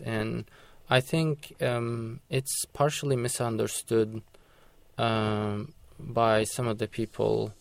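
Male radio guest, gravelly voice, unhurried, halting delivery. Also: medium pitch, no echo. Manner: hesitant, full of ums. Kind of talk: call-in radio interview.

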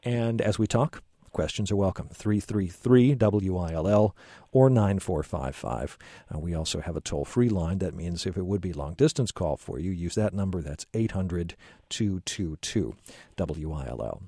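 A man hosts a radio call-in show from a studio, with a dark, mellow voice and a dry, close sound. He asks his questions hesitantly and fills them with ums and restarts. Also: low voice, animated, normal speed, slightly rough, no room sound. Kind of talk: radio interview.

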